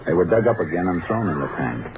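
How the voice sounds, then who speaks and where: An actor playing a steady, shrewd American Texas Ranger in a 1950s radio drama, on a thin vintage recording. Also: male voice, low voice, fast talker, muffled recording, noisy background. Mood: calm, probing.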